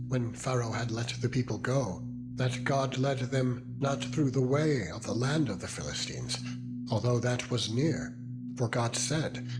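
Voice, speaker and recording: A man reading scripture as a solemn, dramatized narration, with deliberate, weighty phrasing. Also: lowish voice, rough recording. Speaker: Bible narrator. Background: music.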